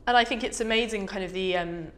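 A woman's voice: medium pitch, quick pace, fairly bright tone. A young woman, asking wordy, thoughtful questions in a conversational interview. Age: young adult. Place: indoor photo studio, seated interview.

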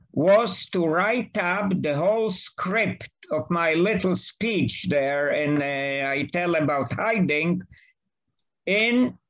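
Older Polish-accented man, native Polish, slow careful guest on a muffled video call. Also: sits high, fairly bright tone. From Poland. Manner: slow, careful, halting delivery. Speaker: guest speaker. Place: home study with bookshelves. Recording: dull lo-fi video call audio.

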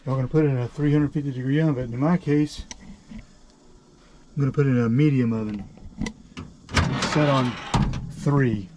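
A man in his forties giving a casual, halting, step-by-step cooking demonstration in a small indoor space. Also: mid-range voice, fairly even intonation, a little mellow. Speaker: vlogger, home cook. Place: small boat galley, indoors. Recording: clear background noise, clicks.

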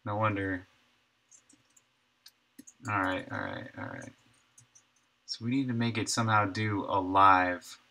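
A man in his thirties, a game developer thinking aloud in a halting, musing way at his home desk while streaming his work. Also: lowish voice, very animated, pushed and bright. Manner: musing, halting, talks to himself.

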